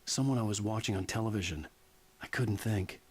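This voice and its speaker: An actor playing a defiant writer held as a patient in a video game, dry and brooding. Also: male voice, fairly low pitch, fairly quick, darkish tone, breathy edge. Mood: brooding narration. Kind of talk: video game acting and first-person narration.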